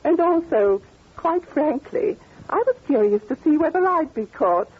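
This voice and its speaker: An actress playing a haughty, amused older British duchess with a grand, theatrical manner, in 1940s radio style. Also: female voice, high voice, sing-song, a little slow. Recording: tinny, narrow old radio recording.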